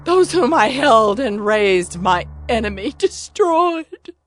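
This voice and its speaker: A woman's voice: a little high, sing-song, slow, dry recording. A woman playing an anguished, pleading mourner of a fallen city in a dramatized scripture reading.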